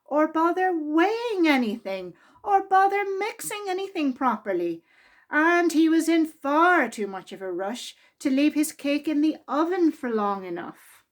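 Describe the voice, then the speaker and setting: A woman reading a children's story aloud in an expressive, deliberate way, drawing out key words for emphasis. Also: sits high.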